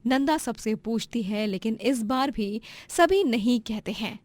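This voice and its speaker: A woman's voice: on the high side, unhurried, darkish tone, dry recording. A woman narrating a scripted moral story as the storyteller.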